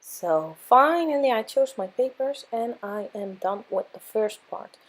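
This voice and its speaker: A young woman with a hoarse, worn-out voice, casually narrating her craft process as a channel host, with frequent fillers and self-corrections. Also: a little high, lively intonation, measured pace, rough recording.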